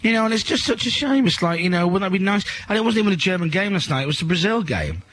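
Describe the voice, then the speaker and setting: A man's voice: sits high, big pitch swings, fast talker, a bit bright, no room sound. A man hosting a radio breakfast show, telling stories and joking with his team in the studio. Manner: chatty, joking storyteller. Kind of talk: casual studio banter.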